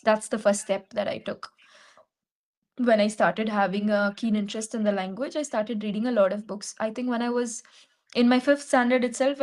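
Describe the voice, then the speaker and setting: A young woman from Kerala with an Indian English accent, an English tutor speaking in a measured, reflective way in a practice conversation. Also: a little high.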